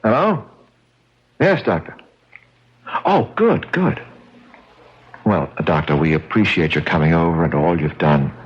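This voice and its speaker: An actor playing an earnest, concerned fiancé in a radio mystery, with a dramatic manner. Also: male voice, sits low, expressive, a little slow, long pauses, a little hiss or hum. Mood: reassuring. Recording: dull lo-fi radio with music.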